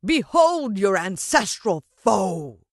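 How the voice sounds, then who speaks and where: Woman playing a slow, menacing storybook villain man. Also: mid-range voice, sing-song, gravelly, no echo, clean studio sound, pitched above the performer's own voice, full character voice. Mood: grandiose, deadly. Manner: slow, deliberate, ominous delivery. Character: menacing, gloating villain man who commands ants.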